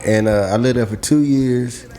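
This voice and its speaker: A man co-hosting a casual podcast, telling stories loudly and animatedly with plenty of swearing. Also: mid-range voice, moderate pace. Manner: animated, loud storytelling with profanity. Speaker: podcast co-host. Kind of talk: casual chat.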